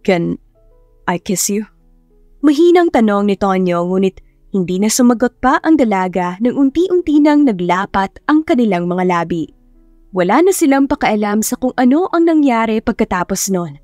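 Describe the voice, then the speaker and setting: A woman's voice: mid-range voice, sing-song, moderate pace, a little mellow, no room sound, muffled recording, soft background music. A woman narrating a scripted romantic story as an audiobook narrator.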